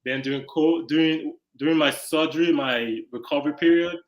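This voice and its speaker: A young man from Nigeria telling his story as an interview guest, in a halting, easygoing way with many pauses and fillers. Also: fairly high pitch, dull audio.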